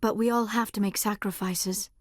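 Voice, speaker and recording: An actress playing a gentle, earnest, shy young alien researcher in a video game, speaking in careful, formal, measured phrases. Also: female voice, medium pitch, fairly even intonation, no room sound, clean studio sound, natural voice. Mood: concerned, earnest. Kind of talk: video game dialogue acting.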